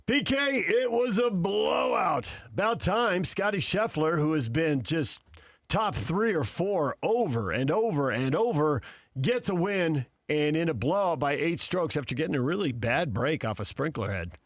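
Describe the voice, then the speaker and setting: A man's voice: a little high, animated, a little slow, dry recording. American male sports radio host, dry studio sound. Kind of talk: conversational sports analysis. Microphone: close studio microphone.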